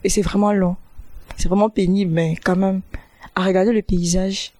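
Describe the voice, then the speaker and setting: A young woman university student answering interview questions in a hesitant, halting way, often restarting her sentences. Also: mid-range voice, little pitch movement, warm tone, dry recording. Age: young adult.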